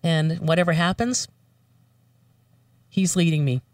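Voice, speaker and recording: An earnest woman speaks haltingly, full of fillers and restarts. She is heard a little away from the microphone in a very dry, unreverberant room. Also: fairly low pitch, fairly even intonation, a little mellow, no room sound, distant mic. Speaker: guest, voiceover artist. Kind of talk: spoken prayer and casual chat.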